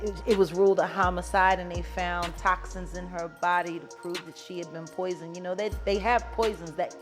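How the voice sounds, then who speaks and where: A woman's voice: on the low side, fairly even intonation, brisk. A woman talking casually and with strong opinions, blunt and profane, pressing her points with emphatic rhetorical questions. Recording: music behind the voice. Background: music.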